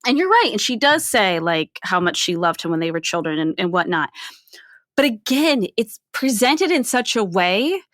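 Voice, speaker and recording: A woman's voice: mid-range voice, big pitch swings, normal speed, a bit bright, no room sound, studio quality. A woman co-hosting a podcast, talking casually about film in an explanatory way, often checking in with "right?". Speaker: podcast co-host.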